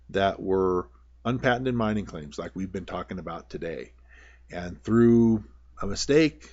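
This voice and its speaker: Low-voiced man narrating an informal tutorial, muffled sound. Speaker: prospector giving a tutorial. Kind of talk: informal narration over slides. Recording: dull, lo-fi voice-over.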